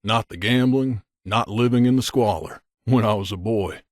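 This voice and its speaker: A man's voice: lowish voice, normal speed, no echo, clean studio sound, natural voice. A man playing an earnest, guarded wasteland drifter and loyal companion in a video game, speaking conversationally. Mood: reflective. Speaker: voice actor.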